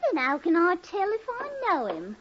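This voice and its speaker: A woman's voice: very high-pitched, wildly swooping pitch, moderate pace, full character voice. An actress playing a brassy, flirtatious working-class woman in a 1950s radio drama, coy and drawling. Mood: wary, evasive. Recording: muffled old radio recording, faint noise, clicks.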